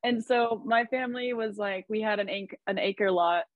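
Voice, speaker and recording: A woman's voice: medium pitch, normal speed, no room sound, phone-quality sound. A woman from Idaho, a podcast guest, chatting in an upbeat way and laughing often. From Idaho, USA. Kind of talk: casual conversation.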